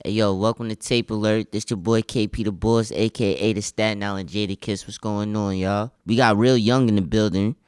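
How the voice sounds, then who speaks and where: Male host, lowish smooth voice, casual slangy hip-hop interview. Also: fairly even intonation, brisk, few pauses, a little mellow. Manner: loose slangy questions, says "bro" a lot.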